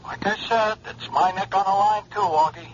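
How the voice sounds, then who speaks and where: An actor playing a worried gangster henchman in a 1950s radio drama, talking in a hushed, anxious way. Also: sits high, moderate pace, slight background noise, natural voice. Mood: uneasy. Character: nervous male hoodlum underling, worried. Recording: dull lo-fi old radio broadcast.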